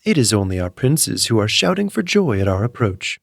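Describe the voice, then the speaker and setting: Storybook princess, young and dismissive, read by a man, scornful, with lively intonation. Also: fairly low pitch, a little fast, vocal fry, no echo, studio quality, exaggerated voice. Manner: scornful, self-assured. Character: haughty, dismissive eldest princess, young woman. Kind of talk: storybook character voice.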